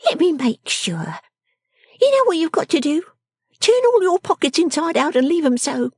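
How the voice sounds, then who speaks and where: A woman voicing a sharp-tongued, sickly young girl dressmaker from a storybook, bossy, scolding and snappish. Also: extremely high pitch, very animated, normal speed, dry recording, studio quality, voice pushed up, full character voice. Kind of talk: storybook character acting.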